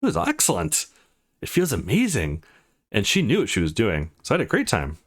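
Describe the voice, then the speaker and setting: A man's voice: lowish voice, wildly swooping pitch, brisk, husky, exaggerated voice. A man voicing a laid-back but defiant teenage schoolboy with a casual, slangy manner in a visual novel. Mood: bashful, frank.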